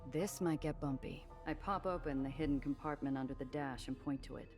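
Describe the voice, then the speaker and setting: A woman's voice: low-pitched, brisk, darkish tone, natural voice. An actress playing a wry, guarded, streetwise woman smuggler in a noir game, narrating in a dry, world-weary way. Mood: tense, narrating. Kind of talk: noir first-person narration and game dialogue. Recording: music behind the voice.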